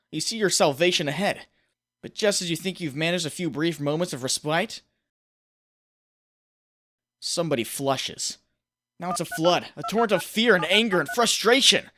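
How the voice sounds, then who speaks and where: A man's voice: fairly high pitch, normal speed, pauses often, fairly bright tone, close to an everyday voice. A man playing a young male superhero in a comic book dub, anguished and frantic, with a brooding, self-mocking monologue that bursts into urgency. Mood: agitated, anguished. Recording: roomy reverberant voice, faint noise.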